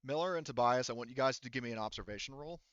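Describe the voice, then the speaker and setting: A man narrating a tabletop roleplaying game as game master, explaining things in a halting way. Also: medium pitch, a bit bright, clear, resonant tone, no echo, dull audio.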